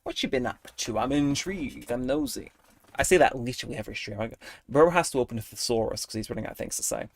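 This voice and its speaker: A man's voice: mid-range voice, lively intonation, quick pace. A young adult man chatting casually with viewers while streaming a game, rambling and poking fun at himself. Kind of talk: casual livestream chat while gaming.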